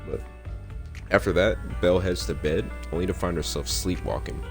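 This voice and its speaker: Very deep-voiced young gamer man. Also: moderate pace. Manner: slangy, joking asides. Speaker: gaming content creator. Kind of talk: casual game story recap commentary. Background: faint game music.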